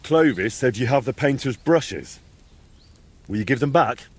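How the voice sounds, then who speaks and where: Medieval game hero, young man: mid-pitched and raspy, direct, challenging, with a wry edge. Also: lively intonation, measured pace, darkish tone. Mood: stern, challenging. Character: bold, blunt young medieval hero pressing for answers. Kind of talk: video game dialogue acting.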